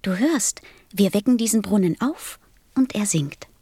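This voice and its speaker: A woman playing a small, innocent and wise boy prince in a radio play, speaking softly and earnestly. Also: medium pitch, animated, measured pace, breathy edge, dry recording. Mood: thoughtful.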